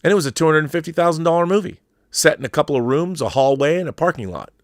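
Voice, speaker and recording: A man's voice: a little high, animated, fast, dry recording, clean studio sound. A middle-aged American man hosting a casual, opinionated livestream, rambling with enthusiasm and emphasis. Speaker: YouTube show host and filmmaker.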